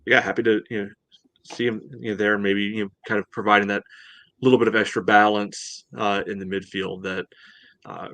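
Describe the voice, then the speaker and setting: A man with a low voice and little melodic movement talks through a soccer match as a podcast co-host. He rambles casually, leaning often on "you know". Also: level tone, brisk, pushed and bright, dry recording.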